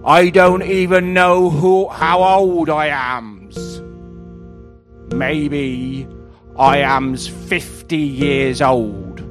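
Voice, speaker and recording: A man voicing a forlorn, ancient Green Man as a pirate, in a slow theatrical monologue with mangled grammar over music. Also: fairly high pitch. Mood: forlorn, brooding. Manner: deliberate, declamatory, with mangled grammar.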